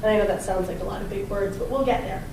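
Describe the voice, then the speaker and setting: A slightly hoarse woman with a raspy voice, a chiropractor giving a chatty, upbeat health talk and quizzing her small audience. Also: mid-range voice, fairly even intonation, quick pace, darkish tone. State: slightly hoarse from talking a lot. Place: personal training gym, indoors. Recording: echoey room, distant mic, background noise.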